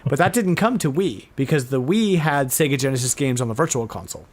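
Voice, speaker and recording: Casual, halting male podcast host. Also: mid-range voice, lively intonation. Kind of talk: casual chat about retro game news.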